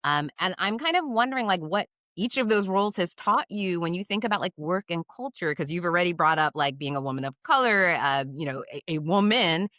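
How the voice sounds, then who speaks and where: A woman hosting an interview, asking thoughtful questions and speaking directly to her listeners in a measured, reflective way. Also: on the low side, lively intonation, bright, forceful tone, dry recording, studio quality. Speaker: host, equity strategist. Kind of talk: conversational interview.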